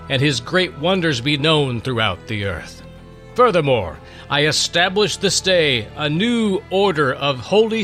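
A man voicing a proud, awestruck ancient king who proclaims his decrees in a grand, deliberate manner in a dramatic Bible story. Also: on the high side, pushed and bright, pitched above the performer's own voice, heavily acted.

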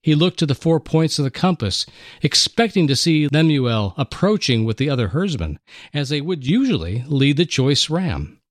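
A man narrating a dramatic story slowly and deliberately, with expressive delivery. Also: on the high side, no room sound, studio quality. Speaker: dramatic reader, radio host.

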